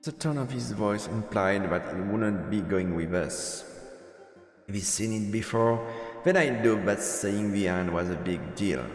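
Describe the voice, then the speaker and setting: A man streaming a visual novel, reading the narration aloud in an expressive storyteller manner with asides. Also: fairly low pitch, unhurried. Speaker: streamer, let's player. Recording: echoey reverberant room, music behind. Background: game music.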